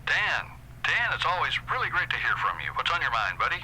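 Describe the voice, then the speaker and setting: An actor playing a smooth, condescending male corporate boss who talks in a patronizing, falsely friendly way. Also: fairly high pitch, huge pitch swings, a little fast, sharp tone, a little hiss or hum, full character voice, low-quality recording. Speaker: employer. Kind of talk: audio drama acting.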